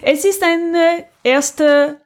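A woman's voice: very high-pitched, steady tone, very slow. A woman co-hosting a podcast in a casual chat, explaining a recommendation and often searching for her words. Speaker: podcast co-host.

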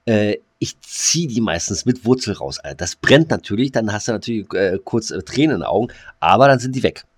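A man's voice: on the low side, animated, a little fast, husky, dry recording, studio quality. A man co-hosting a podcast over a video call, joking bluntly and crudely. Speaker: podcast co-host.

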